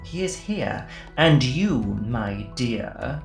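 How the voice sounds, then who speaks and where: In an echoey space with faint music behind, a woman plays a smug cult leader for an audio drama. The delivery is slow, patronising and full of theatrical menace. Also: extremely low pitch, big pitch swings, vocal fry, exaggerated voice. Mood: gloating, menacing.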